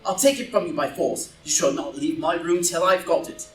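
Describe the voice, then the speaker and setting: A man playing a witty, cool aristocratic gentleman, dry and commanding, in an audio drama reading recorded at some distance in a room. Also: fairly high pitch, normal speed, slight background noise, close to an everyday voice. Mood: stern demand. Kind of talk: live group reading of a stage play as audio drama. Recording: roomy, distant group reading with faint music.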